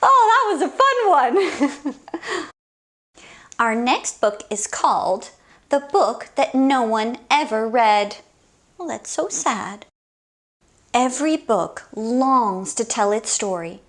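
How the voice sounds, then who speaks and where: A high-voiced woman reads a picture book to young children as a story time host. Her delivery is slow and very expressive, with lively swings in pitch and a sing-song, exclaiming manner.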